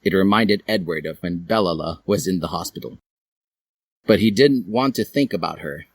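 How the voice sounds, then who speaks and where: A man's voice: low-pitched, normal speed, dry recording. A man reading a comic fan fiction story aloud as a theatrical narrator.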